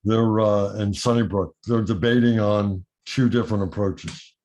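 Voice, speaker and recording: A man's voice: lowish voice, fairly even intonation, a little slow, darkish tone. A man talking frankly in a casual video call, his voice changed by illness. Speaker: meeting participant.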